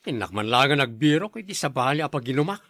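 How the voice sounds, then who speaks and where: A man's voice: mid-range voice, sing-song, fast, fairly bright tone, no echo. Man acting a stubborn drunken Ilocano husband, dry sound. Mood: grumbling, stubborn. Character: drunken, stubborn husband who pleads and argues. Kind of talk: Ilocano radio-style drama acting.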